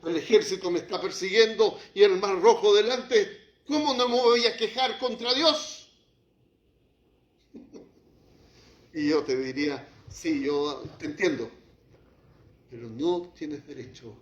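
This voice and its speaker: A man preaching a sermon as pastor, shifting from quiet, low passages to raised, heated lines. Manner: impassioned preaching, drops to quiet, then rises to acted outbursts. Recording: echoey hall, muffled lo-fi sound.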